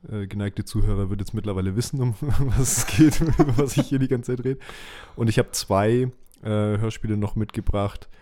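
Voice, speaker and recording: Low, mellow-voiced man chatting casually. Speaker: podcast host. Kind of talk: casual chat.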